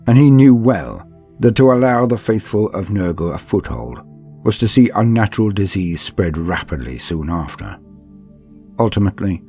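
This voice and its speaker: A man reading scripted narration like an audiobook, in a calm, steady, measured manner. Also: deep voice. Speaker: narrator reading a campaign book. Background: faint background music.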